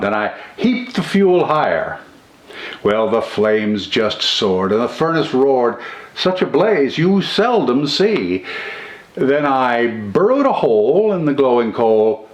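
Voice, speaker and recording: Old man reciting with theatrical sweep. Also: fairly low pitch. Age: older. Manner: enthusiastic, theatrical. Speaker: poetry reciter. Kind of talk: dramatic recitation of a poem from memory. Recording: roomy echo.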